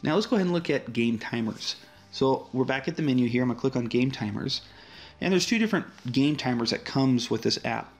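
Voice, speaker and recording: A man's voice: medium pitch, gravelly. A man, the app's developer, casually walking through a demo of his app with frequent fillers and restarts. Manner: relaxed, friendly walkthrough with frequent fillers.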